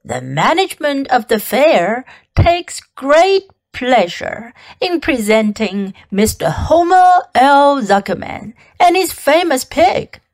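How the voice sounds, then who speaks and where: A woman plays a grand, self-important fair announcer from a storybook. The delivery is slow and proclamatory, pitched high, with showy swings on the formal phrases. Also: very animated, full character voice. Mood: pompous, proclaiming. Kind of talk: storybook character voice.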